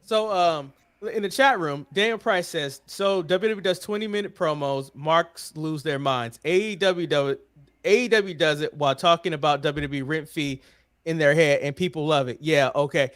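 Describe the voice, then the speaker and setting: A man's voice: fairly high pitch, normal speed, fairly bright tone, clear, resonant tone. A man hosting a wrestling podcast, giving opinionated recaps in a blunt, emphatic and sometimes profane way. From United States.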